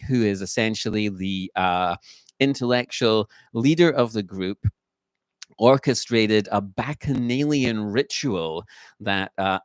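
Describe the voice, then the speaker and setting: A man giving an unscripted book review in a halting way, full of fillers and restarts, a little croaky from a cold. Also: mid-range voice, no room sound. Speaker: book reviewer, channel host.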